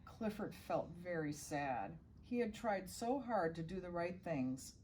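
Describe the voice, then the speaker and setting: A woman's voice: fairly low pitch, lively intonation, unhurried, darkish tone, a little hiss or hum. A middle-aged woman reading a children's storybook aloud as a guest reader, now and then stumbling and correcting herself.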